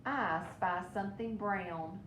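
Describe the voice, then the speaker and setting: A young leaf from a children's storybook, voiced by a woman. It is friendly but quick to envy, with a simple childlike manner. The room is echoey, with a clear low rumble. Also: fairly bright tone. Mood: curious, playful. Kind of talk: children's storybook read aloud.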